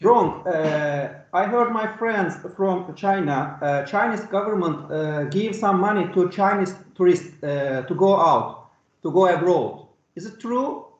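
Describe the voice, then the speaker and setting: A man asking a question at a conference session, slowly searching for his words. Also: sits high, lively intonation. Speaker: audience questioner. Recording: echoey, muffled audio.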